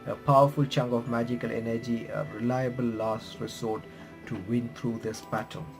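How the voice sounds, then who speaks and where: A man's voice: medium pitch, little pitch movement, gravelly. A man with a mid-range voice reads story text aloud for a game playthrough. He stumbles over words, corrects himself and drops in his own remarks, with music playing behind him. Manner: halting reading with stumbles and self-corrections. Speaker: gaming reaction streamer. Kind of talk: reading visual novel text aloud with commentary.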